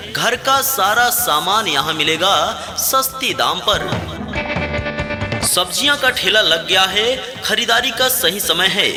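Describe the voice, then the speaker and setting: A man delivering a scripted loudspeaker announcement for a street vendor, calling to passers-by in a repetitive sales pitch. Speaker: street vegetable seller announcer.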